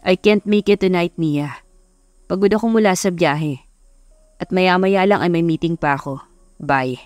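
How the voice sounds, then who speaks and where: A woman's voice: on the low side, a little slow, a little mellow, no room sound, faint music behind, close to an everyday voice. Woman voicing a teasing, confident young male CEO. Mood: curt, tired. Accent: Filipino.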